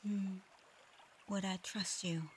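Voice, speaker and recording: A woman plays a proud dragon girl in human form, guarded and easily flustered. She speaks formally and slowly, in a narrow, even line that can catch into shy stammers. It is an intimate ASMR roleplay, with clear ambient noise behind the voice. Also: medium pitch, close to an everyday voice. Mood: softened, earnest. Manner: halting, stammering when flustered, otherwise measured and formal. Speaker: audio roleplay performer.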